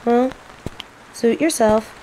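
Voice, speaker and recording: A woman playing a cocky, teasing female mage who controls plants, in a scripted roleplay audio drama, with an unhurried, playful drawl. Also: a little high, low-quality recording. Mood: cool, probing. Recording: steady rain ambience noise. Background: falling rain.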